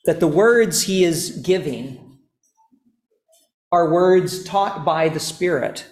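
Male lecturer, unhurried and measured, slightly high voice, echoey classroom. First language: not Estonian. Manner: measured, deliberate lecturing with pauses. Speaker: teacher.

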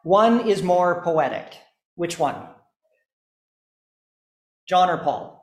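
Man, teacher, slow deliberate lecture, slightly high voice, echoey classroom. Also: lively intonation. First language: not English, also speaks Estonian. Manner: slow, deliberate explaining with pauses. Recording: echoey room.

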